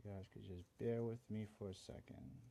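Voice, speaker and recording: A man's voice: lowish voice, steady tone, normal speed, vocal fry, warm tone. A man from Honduras whose first language is Garifuna, hosting a casual live-stream chat, earnest, greeting viewers by name and mixing in Spanish greetings. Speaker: livestream host.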